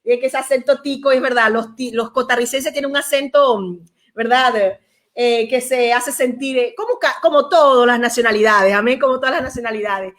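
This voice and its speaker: A woman's voice: on the high side, moderate pace. A woman from Venezuela hosting a church talk program, peppering her speech with amens in a preacherly way. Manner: warm, frequent amens, preacherly. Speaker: program host.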